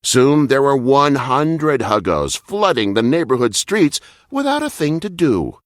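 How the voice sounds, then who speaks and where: A man narrating a children's bedtime story, reading aloud in an expressive, deliberate way. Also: medium pitch, no echo, clean studio sound.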